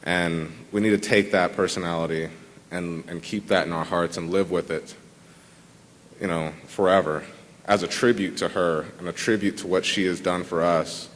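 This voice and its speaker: A man giving an informal tribute at a memorial service, halting and repeating words as he speaks. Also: low voice, fairly even intonation, a little hiss or hum. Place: large room full of people at a memorial service. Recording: reverberant hall.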